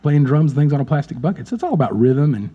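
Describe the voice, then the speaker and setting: A man's voice: a little high, animated, very fast, warm tone, slightly rough, lo-fi sound. A drummer in his late fifties, chatting as a guest in a casual interview, friendly and rambling, often repeating words. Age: 50s.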